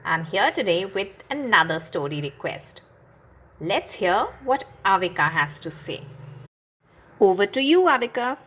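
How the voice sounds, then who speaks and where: Brief: woman storyteller for children, unhurried, very lively pitch. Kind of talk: reading a picture book aloud.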